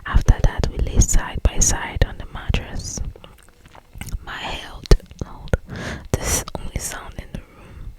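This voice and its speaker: An ASMR host reads a romance novel in a constant whisper. The delivery is very slow and breathy, with drawn-out phrases and an intimate, close manner. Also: rough recording.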